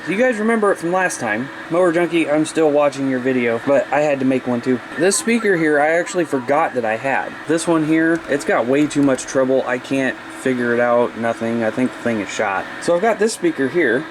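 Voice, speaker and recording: A man's voice: mid-range voice, lively intonation, brisk, few pauses, noisy background. A man chatting in a folksy, informal way as he casually shows off his hobby gear. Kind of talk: casual show-and-tell vlog.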